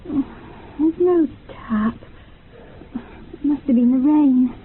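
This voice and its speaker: High, dreamy young woman, muffled radio. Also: drawn-out words. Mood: dreamy, curious. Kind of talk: radio play acting. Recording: muffled, band-limited, faintly noisy radio recording.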